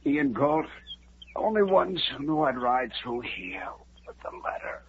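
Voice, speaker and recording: An actor playing a gravely wounded settler, weak and fading, speaking in halting, breathless broken phrases. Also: male voice, medium pitch, big pitch swings. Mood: dying. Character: gravely wounded male settler, frightened and urgent. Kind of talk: 1950s radio drama acting. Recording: muffled, hissy 1950s radio broadcast.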